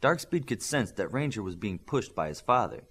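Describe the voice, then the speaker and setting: A man's voice: lowish voice, very animated, normal speed, fairly bright tone, slight background noise. A man reading a scripted fiction story as an audiobook narrator.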